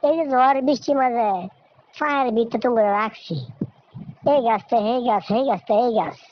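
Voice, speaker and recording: A woman's voice: on the high side, lightly acted. A woman voicing an exasperated, weary mother bird in a cartoon, scolding and pleading in a rural Bangladeshi Bengali accent, on a dull, noisy recording. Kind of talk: cartoon voice acting. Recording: dull lo-fi audio with noise.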